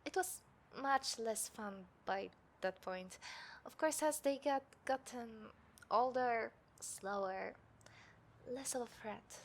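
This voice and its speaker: A woman playing a taunting, confident serial killer in a scripted audio roleplay, speaking to the listener with smug, deliberate menace. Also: a little high. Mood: smug, boastful. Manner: smug, menacing, deliberate, dropping into breathy whispers. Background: city ambience sound effects.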